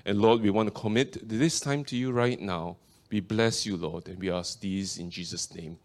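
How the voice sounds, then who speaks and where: A man's voice: fairly low pitch, moderate pace. A man from Singapore with a Singaporean accent, preaching as a guest speaker, opening in fervent prayer and reading scripture aloud. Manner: earnest, fervent praying with many repeated invocations of the Lord.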